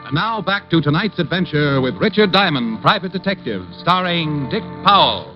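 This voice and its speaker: A man's voice: a little high, expressive, moderate pace. A man introducing a 1940s radio program as its announcer. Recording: dull lo-fi old radio recording. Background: brass music.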